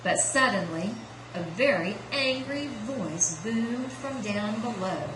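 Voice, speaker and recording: A woman narrates a children's tale for a puppet show. Her voice sits at a middle pitch, recorded in an echoey indoor room with clear background noise. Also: animated, slow pace. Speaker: storyteller, puppeteer.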